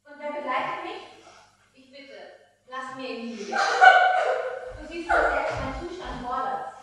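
Wronged, dignified queen in a tragedy, actress; high, slow, solemn delivery on a stage. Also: fairly bright tone. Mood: sad resolve. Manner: solemn, declamatory. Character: wronged pregnant queen, dignified, pleading, patient. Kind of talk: stage acting of a classical tragedy. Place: on stage in an inn hall, dress rehearsal. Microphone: far camera. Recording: distant echoey theater hall, faint noise.

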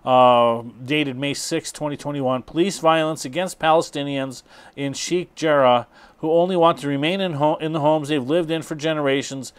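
A middle-aged man introducing and reading aloud an article in a halting, hesitant way. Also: on the high side, steady tone, clear, resonant tone. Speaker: presenter.

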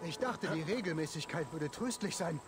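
Man acting a high-pitched, nervous, pompous monk. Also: animated, moderate pace, noisy background, close to an everyday voice. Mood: anxious, self-deprecating. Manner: stutters when nervous, blustering. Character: nervous, boastful monk posing as a pompous thane. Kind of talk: dramatic video game dialogue.

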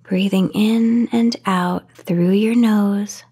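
A woman leads a guided sleep meditation in a soft, half-whispered voice of medium pitch. Her tone is breathy and soothing. She takes her time, leaving long pauses between phrases. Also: steady tone. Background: voice only, no music or background noise.

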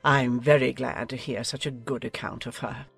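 A woman's voice: rumbling low, fairly even intonation, moderate pace, vocal fry, fairly bright tone, husky, soft background music, voice pushed down, heavily acted. A woman voicing a pompous, meddling older aristocratic lady in a dramatized audiobook. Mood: lecturing. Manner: pompous, commanding.

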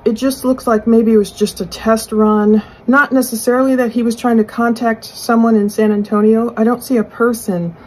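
A middle-aged woman giving conversational true crime commentary in a husky voice, explaining and speculating as she poses questions aloud. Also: fairly high pitch, level tone, normal speed, few pauses, darkish tone, rough recording. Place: small furnished room indoors.

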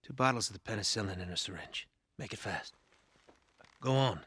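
An actor playing a middle-aged American man, a polite, soft-spoken survivor leader who talks in a hesitant, measured, placating way in a video game. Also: lowish voice, expressive, slightly rough, dry recording, heavily acted. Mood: quietly firm. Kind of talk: naturalistic video game acting.

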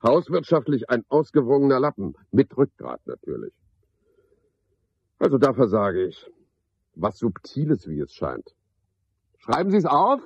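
An actor playing a cynical, chatty night porter in a radio play, musing sardonically in clipped phrases. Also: male voice, medium pitch, wildly swooping pitch, measured pace, vocal fry, pauses often, slightly rough, dry recording, dull audio, exaggerated voice. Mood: mock-jovial. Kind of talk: 1970s German radio play monologue.

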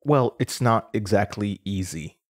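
A man's voice: on the low side, lively intonation, a little slow. An actor playing a nervous, confused young man under interrogation, stammering and hesitant in a naturalistic audio drama. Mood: uneasy, defensive.

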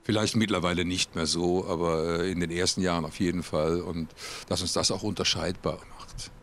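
A man, answering interview questions outdoors on a rooftop into a handheld mic, thoughtful and searching, with frequent pauses. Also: sits low, steady tone, a bit bright, a little hiss or hum. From Cologne, Germany. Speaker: singer-songwriter.

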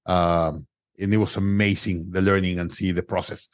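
Mexican guy, middle aged, kinda low voice, dry close mic, lots of uh and restarts. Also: flat delivery, moderate pace, no room sound. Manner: non-native grammar, frequent restarts and "uh" fillers. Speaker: guest entrepreneur. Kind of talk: conversational interview storytelling.

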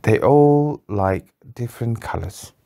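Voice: mid-range voice, animated, slow, warm tone. An adult telling an improvised bedtime story to a child, patiently and in simple phrases.

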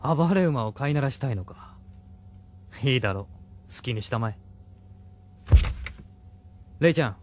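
An actor playing a confident, ruthless security police major in an audio drama, leisurely, smug and commanding. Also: male voice, mid-range voice, expressive, normal speed, pauses often, natural voice. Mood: satisfied. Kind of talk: Japanese audio drama acting. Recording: loud music behind.

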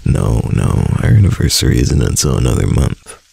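A young man voicing a doting, clingy husband in a soft, intimate ASMR roleplay. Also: very deep voice, sing-song, normal speed, vocal fry, breathy edge, full character voice. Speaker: voice actor.

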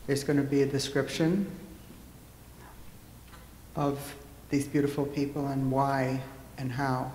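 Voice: mid-range voice, darkish tone. A middle-aged speaker giving a solemn introduction as host of a memorial. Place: church interior. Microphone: stand microphone. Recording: echoey church hall, some room noise.

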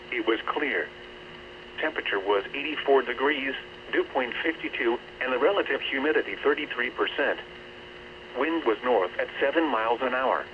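A synthetic man's voice reading a scripted weather bulletin in a formal, measured manner over radio. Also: medium pitch, gravelly. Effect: radio transmission. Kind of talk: scripted weather forecast and observations. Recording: noisy, dull weather radio reception.

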